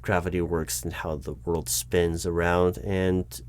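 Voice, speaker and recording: Baffled deadpan male interviewer. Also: sits low, clean tone. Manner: patient but baffled, dry and deadpan; halting, with frequent restarts. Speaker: job interviewer in a roleplay. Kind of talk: ASMR roleplay, spoken aloud, not whispered.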